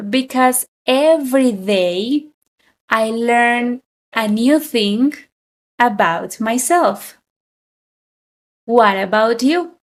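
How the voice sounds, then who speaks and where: A woman in her thirties from Colombia teaching a beginner English lesson, speaking slowly and deliberately and repeating each phrase. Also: a little high. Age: 30s. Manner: very slow, deliberate, with frequent repetition.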